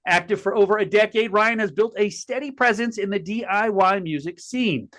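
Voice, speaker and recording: Male podcast host from Connecticut, raised and bright, folksy, restarts his sentences often. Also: sits high. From Connecticut, USA. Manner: folksy, chatty, halting with repeated words. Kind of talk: casual interview talk.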